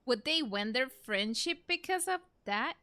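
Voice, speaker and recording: High-voiced woman streamer, casual and giggly. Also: animated, measured pace, sharp tone. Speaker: game streamer. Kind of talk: casual playthrough reaction commentary.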